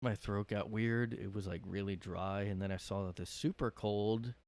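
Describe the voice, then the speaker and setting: A man's voice: lowish voice, fairly even intonation, normal speed, darkish tone. A man hosting a casual solo podcast, rambling with lots of fillers and sounding worn out after a cold. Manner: rambling, hesitant, many fillers. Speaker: podcast host. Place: his own room.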